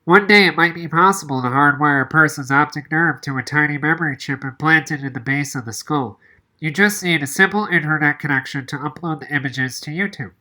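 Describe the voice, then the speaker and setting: A woman's voice: sits low, bright, forceful tone. A woman reading a children's novel aloud as narration. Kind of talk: audiobook-style read-aloud narration.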